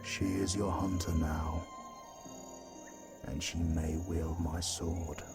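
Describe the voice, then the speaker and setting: A man acts as an ancient creator god weary of his own power. His voice is very deep and unhurried, with music behind it. He speaks in a grave, remorseful fantasy-drama manner. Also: flat delivery. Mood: grave farewell. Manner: solemn, slow, grave. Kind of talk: fantasy audio drama acting.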